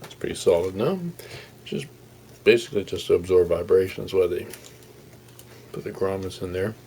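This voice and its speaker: A man giving a casual, unscripted hands-on demonstration as a hobbyist, explaining in a halting, rambling, folksy way. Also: low-pitched, steady tone, mellow, slight background noise. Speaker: hobbyist radio restorer presenting his project.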